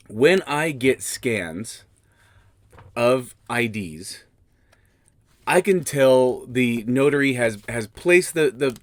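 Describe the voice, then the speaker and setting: Man, podcast host, slightly scratchy voice, mid-range pitch, rambling casual explaining, dry sound. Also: no echo. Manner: rambling, restarts sentences, thinks aloud. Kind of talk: casual instructional podcast talk.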